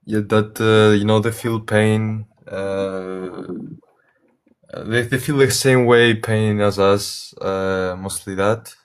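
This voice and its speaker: An eighteen-year-old man, not an English speaker, calling in to a debate and speaking haltingly with many uhs. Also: fairly low pitch, fairly even intonation, clear, resonant tone. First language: Greek. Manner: halting, hesitant, many uhs, apologetic about his English. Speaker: caller. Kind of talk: casual call-in debate.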